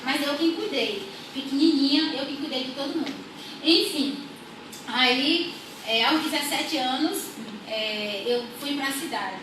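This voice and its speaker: A young woman from rural Brazil telling her life story as a church testimony, unhurried and repeating her phrases. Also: sits high. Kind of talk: personal testimony. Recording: echoey room, far from mic, audible noise.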